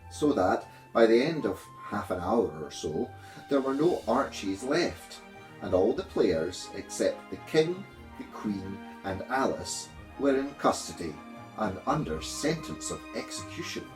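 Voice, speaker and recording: A man reading a children's story aloud in a measured, expressive way. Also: fairly low pitch, reverberant, low-quality recording. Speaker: storybook reader, primary school teacher.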